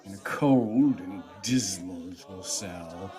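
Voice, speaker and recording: A man narrating a radio play as a theatrical storyteller, with a husky voice and a dramatic, relishing delivery. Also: deep voice, takes it slowly, mellow, soft background music. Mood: relishing, atmospheric.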